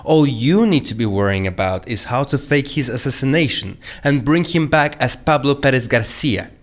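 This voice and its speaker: A man's voice: mid-range voice, expressive, normal speed, close to an everyday voice. A man playing a formal, commanding captor in an audio drama, businesslike and matter-of-fact about his plotting.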